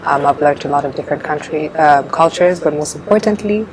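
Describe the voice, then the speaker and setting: A young woman in her twenties, born in Uganda, answering interview questions in a relaxed way with frequent fillers. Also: low-pitched, a little fast. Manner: relaxed, laughs often, hesitant fillers. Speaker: pageant contestant guest. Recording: noisy background.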